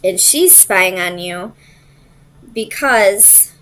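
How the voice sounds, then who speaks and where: A woman with a medium-pitched voice reads tarot in an informal way. She addresses the listener directly, as a host would. Her phrasing is loose and tentative, as if she is working out each thought aloud. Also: expressive, drawn-out words, sharp tone, poor audio.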